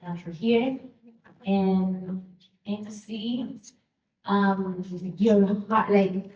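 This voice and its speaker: Slow-spoken woman. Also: medium pitch, darkish tone, dull audio. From Dominican Republic. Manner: halting, with many fillers and restarts. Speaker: guest speaker. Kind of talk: personal testimony at a luncheon. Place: luncheon hall. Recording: echoey hall, distant mic.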